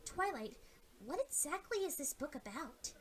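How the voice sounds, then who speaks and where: A woman's voice: sits high, big pitch swings, a little slow, pitched above the performer's own voice. A woman voicing a friendly, helpful and curious female unicorn pony.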